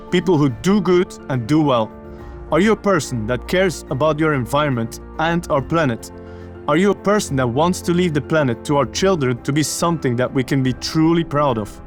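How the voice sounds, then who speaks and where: A male podcast host with an upbeat, friendly delivery, moving from a read intro into relaxed questions. Faint music plays underneath. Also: on the high side. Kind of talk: scripted podcast intro, then casual interview questions.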